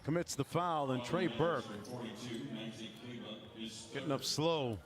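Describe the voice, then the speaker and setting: A man's voice: on the high side, slow pace, crowd noise. A man calling a basketball game as a TV play-by-play announcer, emphatic and polished. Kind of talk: professional live sports play-by-play.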